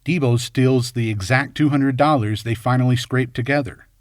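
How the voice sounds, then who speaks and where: A man's voice: medium pitch, normal speed. A man reading scripted film commentary as host, in a wry, tongue-in-cheek way. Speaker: video essay host.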